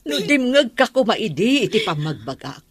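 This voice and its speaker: A woman's voice: medium pitch, sing-song, normal speed, breathy edge, no room sound. An actress playing a concerned, admonishing middle-aged mother in a radio drama, with a Filipino accent. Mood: concerned, scolding. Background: music.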